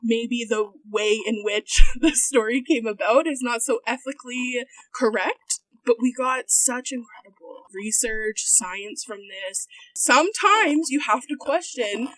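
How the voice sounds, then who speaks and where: A woman's voice: a little high, a little slow, a bit bright, poor audio. A woman podcast co-host sharing her personal take on a book in a casual way. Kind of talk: casual spoken book review.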